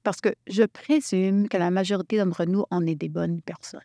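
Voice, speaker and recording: A woman from Quebec leading a workshop, talking in an animated way and restarting her sentences often. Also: on the low side, moderate pace, dry recording, studio quality. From Quebec, Canada. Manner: animated, thinks aloud with many restarts. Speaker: workshop facilitator. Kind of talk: workshop talk.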